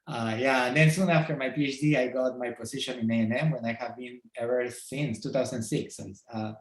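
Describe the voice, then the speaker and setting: A middle-aged man with a Peruvian Spanish accent speaks in a relaxed, thoughtful way, filling gaps with small hesitations. His voice sits at an ordinary pitch and carries a noticeable echo from the room around him. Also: brisk. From Peru. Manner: thoughtful, with many fillers. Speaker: guest. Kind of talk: casual interview. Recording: roomy echo.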